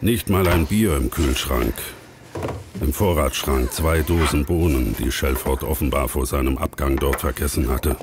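A man performing as the brooding narrator of a dark thriller audio drama, with a very deep, gravelly voice. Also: normal speed, background noise.

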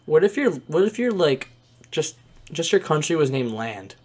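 A man's voice: fairly high pitch, sing-song, normal speed, darkish tone, breathy edge. Joking young man on a game call. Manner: joking, playful, laughs often. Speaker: player. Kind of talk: casual game chat with friends.

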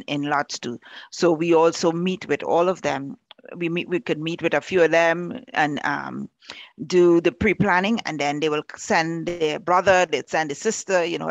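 A woman's voice: sits low, creaky, dry recording. A middle-aged woman pre-planning advisor explaining things conversationally on a video call through a headset. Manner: conversational, repetitive restarts.

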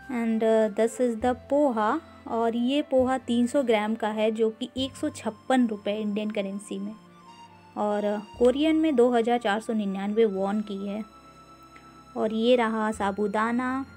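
A woman giving an unhurried, casual walkthrough of a grocery store, filming on a handheld phone and mixing English words into her talk with frequent "uh" fillers. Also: on the high side, a little mellow, faint music behind. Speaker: vlogger touring a grocery store.

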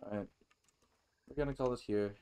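A man with a low-pitched voice hosts a let's-play. He talks informally and thinks out loud while he plays, in a casual commentary style. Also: moderate pace, clear, resonant tone.

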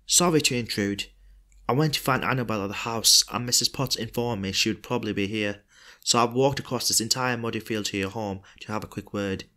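A man's voice: fairly low pitch, a little fast, bright, forceful tone. A man acting a polite, probing detective in an audio drama. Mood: politely probing. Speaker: actor in a podcast drama.

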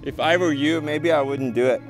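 A young man on a snowy mountain ski tour, chatting casually and excitably into a body-worn action camera, swearing and laughing often. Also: fairly high pitch, fairly quick, over music, rough recording. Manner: excitable, swears, laughs a lot, says "dude". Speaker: skier and vlogger. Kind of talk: casual outdoor chat while ski touring. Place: outdoors on a snowy mountain couloir.

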